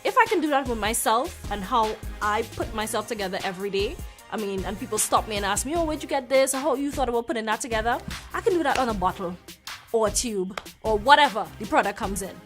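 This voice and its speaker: A woman's voice: a little high, expressive, fast, fairly bright tone. A woman speaking frankly and with bold self-assurance in a reality show confessional interview. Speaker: reality show cast member. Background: music.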